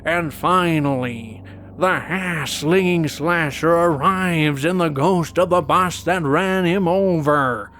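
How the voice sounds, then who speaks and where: A man voicing a droll, sour cartoon squid with drawn-out, dramatic storytelling. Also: fairly high pitch, a bit bright, slight background noise. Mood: mock spooky. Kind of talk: amateur cartoon voice acting.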